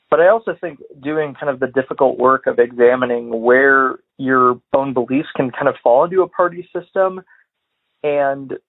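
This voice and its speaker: Man from Illinois on a tinny phone line. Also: medium pitch. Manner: measured, hesitant, many fillers and hedges. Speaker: caller. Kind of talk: voicemail commentary.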